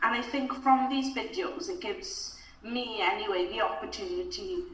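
A woman's voice: fairly high pitch, normal speed, fairly bright tone, distant mic. A young woman with a Welsh accent chats openly about her stage work, laughing easily and padding her sentences with fillers. Her voice sits back from the microphone in a roomy, echoing space. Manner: chatty, laughs often, frequent fillers. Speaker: actor, interview guest. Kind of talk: casual interview talk. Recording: echoey room, voice from off mic.

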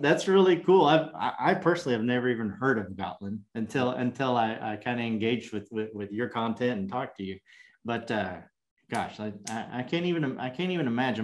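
Host: man with a Kentucky accent and a mid-range voice, easygoing and friendly. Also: medium pitch. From Kentucky, USA. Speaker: podcast co-host. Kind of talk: casual podcast chat.

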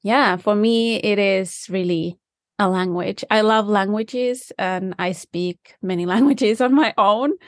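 A woman talks through her answers thoughtfully and with enthusiasm, now and then searching for the right word. Her voice sits at a middle pitch. The recording is dry, with almost no room sound. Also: slow, no echo. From Finland. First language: Finnish. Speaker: guest, design specialist. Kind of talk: conversational interview answers. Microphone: remote call from home.

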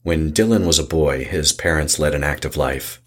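Very deep solemn horror narrator performed by a man. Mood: ominous, measured. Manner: measured, solemn, deliberate storytelling. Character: ominous, literary male narrator of a horror tale. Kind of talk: scripted horror audio drama narration. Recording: music under the voice.

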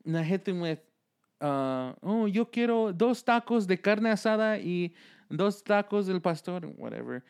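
A man's voice: high voice, animated, moderate pace, a little mellow, clear, resonant tone. A man in his twenties with a Midwestern American accent, co-hosting a casual comedy podcast, joking and swearing freely. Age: 20s. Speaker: podcast co-host.

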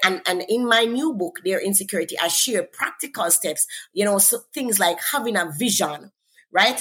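A woman's voice: mid-range voice, slightly rough. A woman with a Jamaican accent, a guest author talking earnestly about faith and purpose in a preacherly way, often checking in with "right?". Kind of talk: conversational faith interview.

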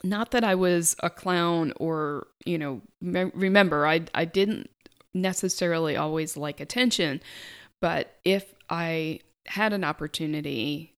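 A middle-aged woman with a soft, quiet speaking voice, reflecting on her own life in a halting, thoughtful way. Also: sits low, clear, resonant tone. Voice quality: soft-spoken. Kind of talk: personal reflective monologue.

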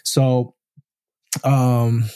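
A man from Taiwan speaks as a podcast guest in a mid-range voice. He talks casually, halting often with fillers and restarting his sentences. The sound is dry and close, with no room echo. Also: mid-range voice, flat delivery, dark tone, smooth. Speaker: podcast guest, real estate syndicator. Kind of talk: casual interview answers.

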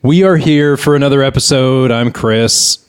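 A man's voice: medium pitch, normal speed, clean tone. A man hosting a podcast in casual comedic banter, sounding grumbling and exasperated. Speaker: podcast host.

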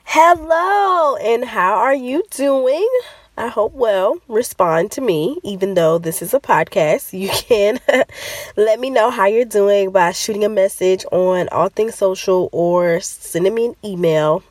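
A woman's voice: mid-range voice, a little slow, no room sound. A woman with a stuffy nose from a cold, hosting a solo motivational podcast in a chatty, direct way that talks straight to her listeners. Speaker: podcast host.

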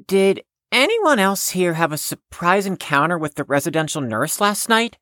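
A woman's voice: on the low side, very animated, normal speed, fairly bright tone, no room sound, studio quality. A woman playing a prim, wordy, anxious patient who speaks formally and precisely in an audio drama. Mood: anxious, puzzled.

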